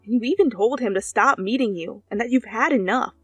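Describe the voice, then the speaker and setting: A woman's voice: on the high side, fairly quick, slightly rough, dry recording. A woman reading scripted second-person fiction as a narrator, close to the microphone. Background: soft background music.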